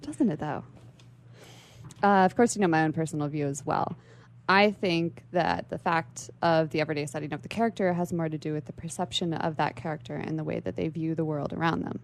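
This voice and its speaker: A young woman with a slightly low voice, speaking as a radio interview guest. She explains things in a bookish, articulate way, building long and prepared-sounding sentences. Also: fairly even intonation, a little fast, a little hiss or hum. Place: radio station studio.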